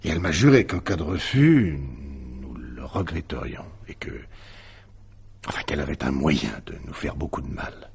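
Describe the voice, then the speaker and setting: Deep, husky, evasive husband under suspicion. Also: male voice, animated, moderate pace, darkish tone, heavily acted. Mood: troubled, confiding. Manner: hesitant, self-justifying, emphatic when challenged. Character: evasive, defensive husband suspected in his wife's disappearance. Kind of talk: French radio mystery drama acting.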